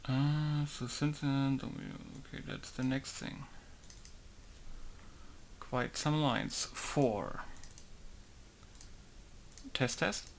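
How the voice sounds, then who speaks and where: Man, unhurried, gravelly, low-key and wry, talking through a mod tutorial at his desk mic. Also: steady tone, slight background noise. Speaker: game modder. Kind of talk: casual screen-recorded tutorial commentary.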